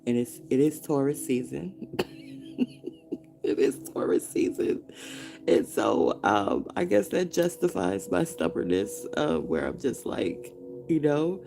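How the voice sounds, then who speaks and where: A solo podcast host muses aloud in an unhurried, drawn-out way, circling back over the same phrases with pauses between thoughts. The voice carries a thick, gravelly fry throughout. Music plays clearly behind the talk. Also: medium pitch, very animated, darkish tone. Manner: musing, repetitive phrasing, many pauses and fillers. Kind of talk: casual solo reflective chat.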